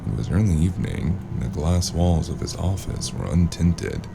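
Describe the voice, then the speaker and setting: Very deep, gravelly noir drifter, acted by a man. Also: very animated, moderate pace, background noise, full character voice. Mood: brooding. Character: wary amnesiac male drifter narrating his noir tale. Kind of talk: noir audio drama acting and first-person narration.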